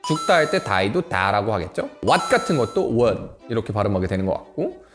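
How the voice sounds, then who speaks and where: A man's voice: on the low side, a little fast. A man in his twenties telling a playful personal story to camera in a casual monologue. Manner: playful, lively, mimics rap-style English. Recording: faint music underneath.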